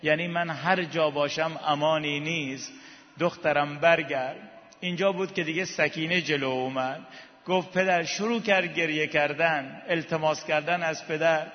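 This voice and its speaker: A man with a high-pitched voice delivers mournful religious storytelling in a plaintive, impassioned way, stretching phrases for dramatic weight. The recording is muffled, with long reverb from a large hall. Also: steady tone. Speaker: religious eulogist and preacher.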